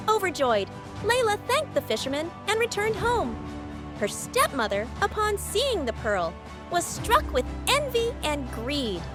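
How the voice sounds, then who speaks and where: A woman narrating a scripted fairy tale as a storyteller. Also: very high voice.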